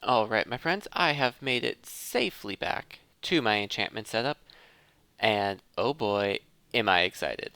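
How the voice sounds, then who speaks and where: A man's voice: on the low side, lively intonation, a little slow, creaky, sharp tone, smooth, dry recording. A man hosting a gameplay video, thinking aloud in a casual commentary addressed to his viewers. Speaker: host of a gaming let's play series.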